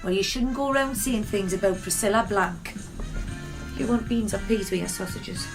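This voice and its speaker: A woman's voice: medium pitch, normal speed, music in the background, natural voice, low-quality recording. An actress playing a scolding, no-nonsense working-class mother with a Tyneside accent in a radio drama. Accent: Tyneside Geordie.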